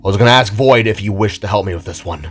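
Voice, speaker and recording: A man's voice: on the low side, fast talker, soft background music, lightly acted. A man voicing a commanding, formal metal-bodied elder-brother warrior in a fantasy audio drama, grave and authoritative.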